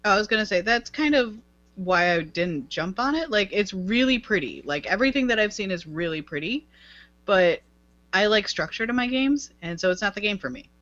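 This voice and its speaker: A man's voice: high voice, normal speed, no room sound, muffled recording. A man co-hosting a podcast in casual chat, with a whiny voice and frequent giggles. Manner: giggly, animated. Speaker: podcast co-host.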